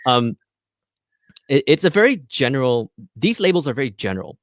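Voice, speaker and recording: A man's voice: medium pitch, big pitch swings, normal speed, dry recording, clean studio sound. A man from Malaysia, a guest on a podcast, who answers thoughtfully with frequent ums and restarts. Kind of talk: casual interview chat.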